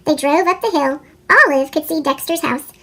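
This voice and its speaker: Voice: high voice, moderate pace, fairly bright tone. A storyteller with a sped-up chipmunk voice effect, reading a children's picture book expressively. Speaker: read-aloud storyteller.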